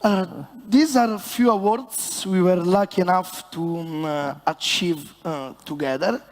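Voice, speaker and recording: A man with an Italian accent, a bartender presenting on stage in a joking, halting way with many fillers. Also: sits high. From Italy. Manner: halting and playful, many fillers, self-mocking banter. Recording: long hall reverb.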